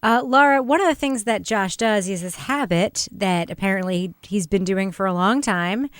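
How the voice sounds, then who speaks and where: A woman of medium pitch leads a casual podcast discussion. She is frank and conversational, moderating her co-hosts, and is recorded close with no room sound. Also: moderate pace, smooth, no room sound. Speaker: podcast host leading the panel.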